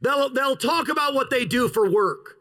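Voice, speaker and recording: A pastor preaching an outdoor sermon in a parking lot, with an opening prayer and emphatic, drawn-out pauses. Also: male voice, extremely high pitch, lively intonation, pushed and bright.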